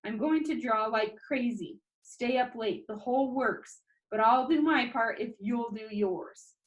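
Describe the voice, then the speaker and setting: A woman's voice: a little high, a little slow, dull audio, natural voice. A woman voicing an eager, dramatic, self-doubting young boy from a storybook, with an emphatic, pleading manner. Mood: determined, pleading.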